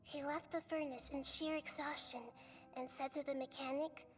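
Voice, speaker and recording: A woman voicing a gentle, wise young deity in a video game, speaking softly with measured, earnest storytelling. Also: high-pitched, steady tone, long reverb tail, soft background music, close to an everyday voice. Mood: solemn.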